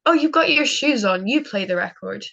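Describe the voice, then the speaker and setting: A woman playing a loving young wartime wife in a 1940s-style radio drama, affectionate and gently wry. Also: medium pitch, lively intonation, normal speed, gravelly. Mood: wry, teasing. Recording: dull muffled audio, faint music.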